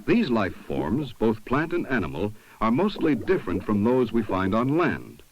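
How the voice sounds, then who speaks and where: Deep-voiced man narrating an old educational film. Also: very animated. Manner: measured, instructive delivery. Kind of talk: scripted 1970s documentary narration. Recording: dull, noisy 1970s film soundtrack.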